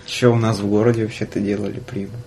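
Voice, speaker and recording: A man's voice: fairly low pitch, level tone, fast, a little mellow, a little hiss or hum. A young man hosting a casual interview chat, blunt and teasing, with slangy wording. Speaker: podcast host.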